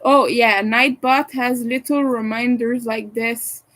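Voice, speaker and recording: Brief: French Canadian woman, higher voice, unhurried, hesitant streamer chat from her room at home. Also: steady tone. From Montreal, Quebec, Canada. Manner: soft, hesitant, many fillers, chuckling asides. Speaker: crochet livestreamer. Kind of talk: casual livestream chat, reading and answering chat.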